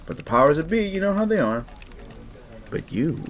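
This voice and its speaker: A man's voice: a little high, very animated, normal speed. A man playing a smug, sleazy werewolf boss in an audio roleplay, talking down to the listener in a mocking, patronizing drawl. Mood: falsely encouraging. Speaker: office boss.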